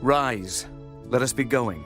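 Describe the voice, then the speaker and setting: Male actor as grave holy teacher, mid-pitched, solemn and measured, scripture drama with clear music underneath. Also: fairly bright tone. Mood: grave resignation. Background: background music.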